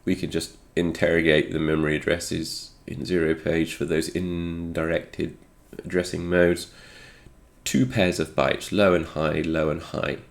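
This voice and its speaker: Male tech explainer, very creaky low voice, a little stuffed up, talks through his reasoning as he goes. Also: level tone, measured pace. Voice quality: slightly congested. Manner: thinking aloud, musing. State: congested, recovering from a cold. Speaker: host of a retro game programming video. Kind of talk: unscripted technical screen walkthrough.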